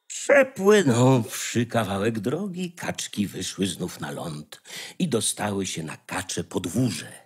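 A man's voice: lowish voice, very animated, slow, husky. A man narrating a scripted fairy tale as the narrator of a radio play. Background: music.

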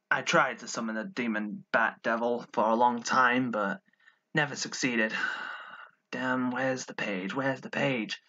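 A man's voice: medium pitch, bright, forceful tone, muffled recording, heavily acted. A man voicing an irritable, sulky, sardonic demon-summoner in amateur cartoon voice lines, muttering to himself in exasperation. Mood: brooding, frustrated.

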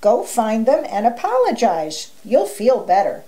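A woman voicing a kindly female yeti who comforts and gently advises in a children's story. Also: fairly high pitch, sing-song, unhurried, low-quality recording. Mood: comforting.

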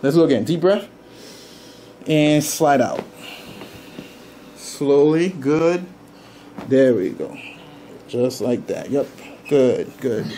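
A male chiropractor with a medium-pitched voice guides a patient through stretches. He gives simple breathing cues and repeats short reassurances. There is faint noise in the small room. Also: lively intonation, slow pace, pauses often, dark tone. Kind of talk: calm hands-on instruction to a patient. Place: small indoor treatment room.

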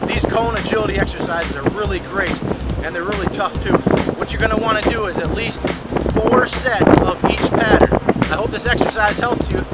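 A man's voice: high voice, moderate pace, over loud music, low-quality recording. A young man hosting his fitness channel, coaching exercises outdoors on a beach in an upbeat, direct manner. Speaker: fitness trainer and channel host. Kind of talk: instructional fitness vlog. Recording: loud wind noise on the mic. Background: loud wind and surf noise.

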